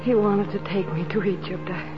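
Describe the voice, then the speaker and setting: An actress playing a gravely ill woman in love, in an emotional 1940s radio drama style. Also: medium pitch, steady tone, brisk, lightly acted. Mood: weak, confessing. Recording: dull 1940s radio recording, music behind.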